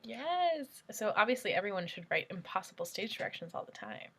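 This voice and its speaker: A woman's voice: mid-range voice, big pitch swings, fairly bright tone, slightly rough. A woman moderating a casual panel discussion, searching for her words as she thinks aloud. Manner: halting, reflective, searching for words. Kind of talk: casual post-show discussion.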